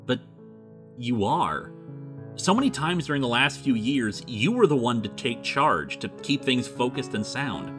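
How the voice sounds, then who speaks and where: A man's voice: medium pitch, sing-song, unhurried, fairly bright tone, faint music behind. A man playing an earnest, self-critical leader of an embattled group in a web film, speaking emphatically. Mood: remorseful, sincere. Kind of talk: scripted web film dialogue.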